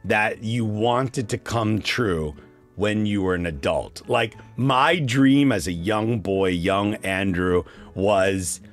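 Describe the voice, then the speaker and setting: A man's voice: lowish voice, slow pace. A Canadian man hosting a children's radio show, chatting casually and encouragingly and putting quiz questions to young listeners. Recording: music playing behind the voice.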